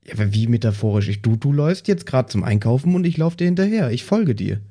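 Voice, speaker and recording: A man playing along in casual comic banter, sounding naive and halting as he gropes for words. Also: mid-range voice, animated, warm tone. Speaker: player on the show.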